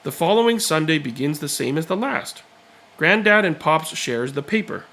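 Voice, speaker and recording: Man, higher-pitched voice, very lively intonation, children's storytelling, deliberate dramatic phrasing. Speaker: read-aloud storyteller. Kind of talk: expressive children's picture book narration.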